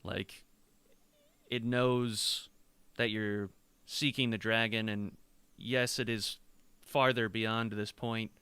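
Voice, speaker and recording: A man runs a tabletop game in a bright, very crisp voice, close and dry. He describes each gesture slowly and haltingly, step by step. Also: fairly low pitch, level tone, dry recording. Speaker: game master. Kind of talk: improvised tabletop game narration.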